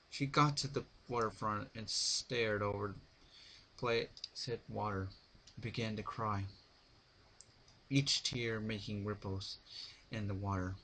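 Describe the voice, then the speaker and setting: A man reads a story aloud as an amateur narrator at a slow pace. His delivery is halting, with occasional stumbles, and there is clear noise in the recording. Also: on the low side, fairly bright tone. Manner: halting, stumbles over words. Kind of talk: dramatic reading of a written story. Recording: noisy amateur home recording.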